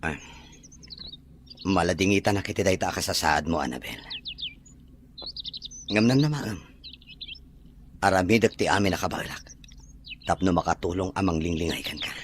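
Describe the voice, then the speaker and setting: Low-voiced, expressive kind man played by an actor. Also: normal speed, pauses often. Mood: caring, reassuring. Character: kind rich man, farm owner, caring friend. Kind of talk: Ilocano audio drama acting. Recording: faint noise with birdsong.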